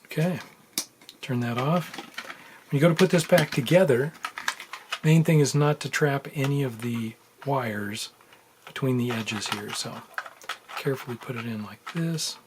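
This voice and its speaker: A man giving a casual, unscripted how-to in a low-key, halting murmur while he works with his hands at a bench. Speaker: electronics hobbyist demonstrating a repair. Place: indoors at a workbench. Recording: noisy room with handling clicks.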